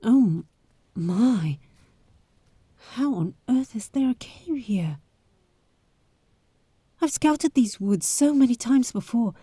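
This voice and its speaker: Woman doing brave caring adventurer lady, audio roleplay, takes her time, breathy hesitant bits, really dry sound. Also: on the high side, lively intonation, slow, long pauses, warm tone, no room sound, heavily acted. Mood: hushed, wary. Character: bold adventurer heiress, adult woman, caring and wary. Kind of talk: audio roleplay acting addressed to the listener.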